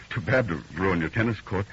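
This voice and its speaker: A man's voice: sits low, fairly bright tone. An actor playing an agitated, insistent man haunted by a nightmare, in old-time radio drama style, by turns hesitant and grim. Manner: hesitant, then grimly insistent. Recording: muffled, faintly noisy vintage radio recording.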